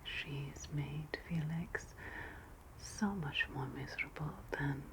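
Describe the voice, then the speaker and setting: A woman playing a wry, spiteful ancient Roman witch in a whispered ASMR roleplay, breathy and sweetly sarcastic. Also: low voice. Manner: slow, deliberate, sweetly sarcastic.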